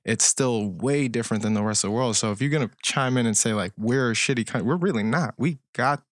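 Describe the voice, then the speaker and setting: A man's voice: mid-range voice, fast talker, no room sound, clean studio sound. A young man from Colombia in a casual group chat, talking emphatically in slang and repeating phrases.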